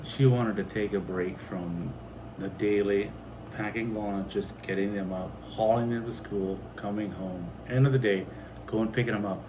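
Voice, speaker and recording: Low-voiced man chatting over steady noise. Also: moderate pace. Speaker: homestead vlogger and co-host. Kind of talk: casual chat. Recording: clear steady background noise, like ventilation.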